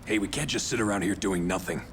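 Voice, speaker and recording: A man plays a steady military strategist in a video game. His voice is very low and his delivery measured and explanatory, with faint noise behind it. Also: lightly acted. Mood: urging. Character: steady, level-headed male strategist on a military team. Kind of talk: video game cutscene acting.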